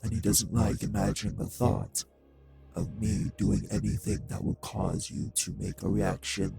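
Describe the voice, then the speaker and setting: A man playing a cruel, taunting male vampire in an audio drama, with a husky, gravelly voice and a slow, mockingly polite manner. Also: fairly low pitch, level tone, warm tone, soft background music. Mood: quiet threat. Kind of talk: scripted audio roleplay drama.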